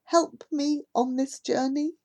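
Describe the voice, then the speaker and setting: A stubborn young girl speaks high and shaky, quick to break into loud, hurt outbursts, as a woman voices her. She sounds proud and on the edge of tears. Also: steady tone. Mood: distraught. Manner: emotional, outbursts, trembling.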